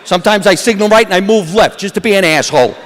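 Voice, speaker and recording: A man's voice: sits high, fast talker, slight background noise. Wisecracking man with a Jersey accent, onstage. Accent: New Jersey. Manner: deadpan wisecracking delivery. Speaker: stand-up comedian. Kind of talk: stand-up set. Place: comedy awards stage. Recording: long hall reverb from a stage.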